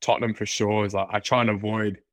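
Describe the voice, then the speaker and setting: A man's voice: lowish voice, flat delivery, quick pace, dry recording, studio quality. A man from London with a London accent, a podcast guest talking casually, with many restarts and fillers. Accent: London English. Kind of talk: casual interview chat.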